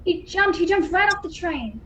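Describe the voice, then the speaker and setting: A woman playing an earnest, excitable, folksy male police officer in a radio play over a home video call. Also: very high-pitched, moderate pace, slight background noise. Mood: breathless report.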